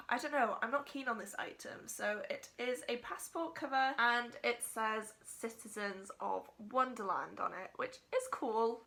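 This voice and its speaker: Bright-voiced Welsh woman gushing over books. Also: fairly high pitch. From Wales. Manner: gushing and chatty, slips into rants. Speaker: book vlogger. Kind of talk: casual unboxing chat.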